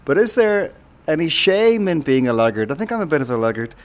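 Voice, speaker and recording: A man's voice: fairly high pitch, sing-song, brisk. A man interviewing a guest, putting his questions and remarks in a conversational way.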